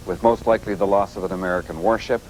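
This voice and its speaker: An actor playing a solemn, weary 1980s TV news anchor with measured, halting delivery. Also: male voice, low voice, steady tone, darkish tone, lightly acted. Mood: tense, urgent. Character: grave, weary male network news anchor covering nuclear crisis. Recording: noisy old TV broadcast audio.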